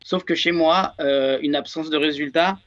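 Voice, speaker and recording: A man's voice: on the high side, moderate pace, a bit bright, slight background noise. A man in his thirties with a French accent, talking as an interview guest and hesitating often with fillers and restarts. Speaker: guest, research and development engineer. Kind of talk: casual interview talk. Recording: dull lo-fi sound.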